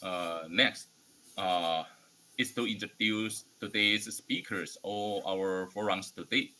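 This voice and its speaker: A man with a Taiwanese accent and Mandarin as his first language, hosting an online academic forum with a formal but halting, hesitant delivery. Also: fairly low pitch, steady tone, sharp tone, rough recording. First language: Mandarin Chinese. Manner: halting, hesitant non-native English delivery. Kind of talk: formal welcome speech.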